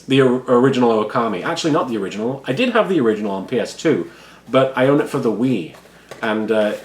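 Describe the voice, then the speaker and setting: A man with a froggy, hoarse voice, chatting casually and self-deprecatingly as a YouTuber unboxing a subscription box. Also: mid-range voice. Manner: self-deprecating, rambling, quiet-natured. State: hoarse, froggy voice after studio work. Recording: echoey room with faint background ambience.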